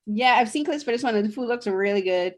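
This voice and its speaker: A woman's voice: fairly high pitch, animated, fast talker. A young woman from Barbados co-hosting a podcast, chatting casually about shows she watched, with lots of fillers and restarts. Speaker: podcast co-host.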